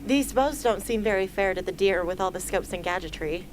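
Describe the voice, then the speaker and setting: A woman's voice: mid-range voice, fairly quick, lightly acted. A woman plays a guarded teenage girl archer in an amateur radio play. She is blunt, with a mid-range female voice. There is faint noise in the recording. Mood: dry, guarded. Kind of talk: amateur radio drama acting.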